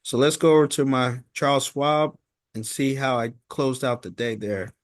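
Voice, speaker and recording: A man's voice: medium pitch, no room sound. Man giving a dry-sounding informal market recap. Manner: halting and repetitive, punctuating points with "okay?". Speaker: host of a stock market recap. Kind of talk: informal stock market commentary.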